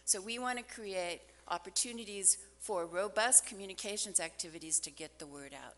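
An older woman gives a prepared keynote speech at a podium, deliberate and stressing key phrases. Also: medium pitch, fairly bright tone. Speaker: foundation representative giving a keynote. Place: large hotel ballroom at a conference, on stage. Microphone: podium gooseneck microphone. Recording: hall reverb from conference ballroom PA.

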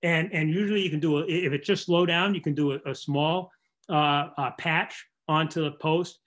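Older man explaining, slightly high-pitched voice. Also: fairly even intonation, fairly bright tone. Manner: halting, repeats words, explanatory. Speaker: barn restoration contractor giving a lecture. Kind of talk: informal slideshow presentation. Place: indoor room, on a video call.

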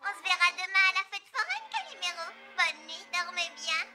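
Cartoon girl chick, polite, childlike, chirpy, extremely high pitch. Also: very animated, normal speed, sharp tone, soft background music, full character voice. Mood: cheerful. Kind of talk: cartoon dubbing.

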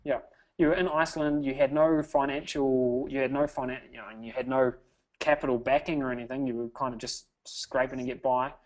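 A man's voice: mid-range voice, fairly even intonation, brisk, rough recording. Upbeat man hosting a sales webinar. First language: English. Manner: upbeat salesy, frequent "okay?" tags. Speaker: webinar host.

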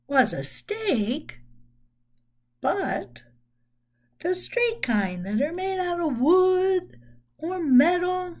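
A woman in her sixties reads to children in a high, very animated voice. She takes her time and explains things simply and expressively. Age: 60s. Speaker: children's story reader. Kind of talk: read-aloud storytelling with asides to children. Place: small room at home.

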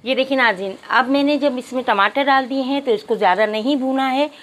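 A woman's voice: high voice, fairly quick. A woman narrating a cooking tutorial step by step, addressing viewers politely. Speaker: cooking channel host.

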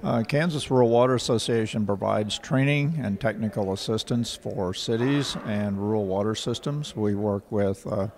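An older man, answering interview questions in a measured, factual way with frequent pauses. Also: fairly low pitch, darkish tone. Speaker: rural water association director. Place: indoor office in front of a painting. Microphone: handheld station mic.

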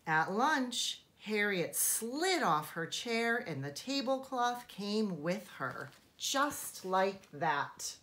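A woman teacher reading a children's storybook aloud in a deliberate, expressive, emphatic way for young listeners. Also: medium pitch.

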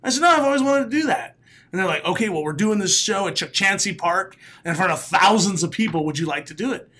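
Comedian guest, male, late 30s, raspy, bright forceful tone, animated talker, studio mic. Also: high voice, quick pace. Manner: animated storyteller, laughs often, bursts into loud announcer calls. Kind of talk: casual podcast interview. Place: small studio room. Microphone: studio mic, headphones.

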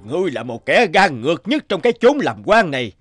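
An actor playing a corrupt, scornful older mandarin in a cartoon, pompous and mocking. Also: male voice, on the high side, sing-song, a little fast, a bit bright, soft background music.

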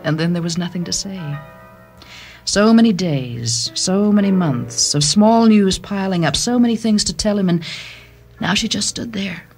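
Woman, story narrator, dramatic scripted reading, medium pitch, background music. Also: moderate pace, darkish tone. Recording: music bed behind the voice.

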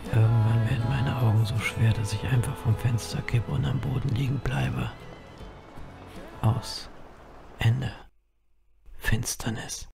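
Brooding, self-mocking grim reaper narrator, male actor, mid-pitched with heavy fry, audio drama over music. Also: steady tone, measured pace, long pauses. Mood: weary, brooding.

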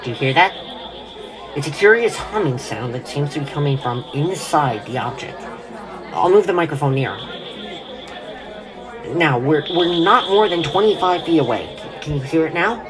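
An excitable eyewitness reporter acted by a solo performer in an old-time radio play. The delivery is urgent and stammering, full of awe and dread, at a medium pitch. A loud crowd murmurs behind. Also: moderate pace, long pauses, natural voice, low-quality recording. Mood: tense, curious. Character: excitable radio field reporter, awed and horrified.

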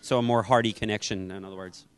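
A man's voice: lowish voice, steady tone, quick pace. A middle-aged man presenting and asking questions in an on-camera trade show interview. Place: trade show booth indoors. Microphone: handheld interview microphone.

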